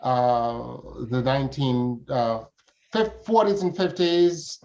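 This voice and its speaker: A man's voice: medium pitch, animated, slow pace, a little mellow. Older man on dull, lo-fi audio. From Jamaica. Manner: formal, flowery phrasing. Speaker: interview guest. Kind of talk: conversational interview answers.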